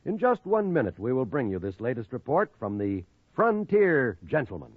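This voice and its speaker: A man's voice: mid-range voice, very animated, normal speed, husky, no echo. Dramatic vintage radio announcer man, swooping intonation. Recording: tinny old radio recording, loud music. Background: loud theme music.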